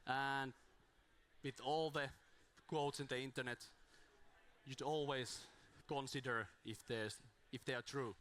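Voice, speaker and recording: A man's voice: medium pitch, fairly even intonation, slow, a bit bright. Man, conference speaker, self-deprecating, rambling restarts, strained voice, handheld mic, small room. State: voice strained from talking. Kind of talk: informal conference talk.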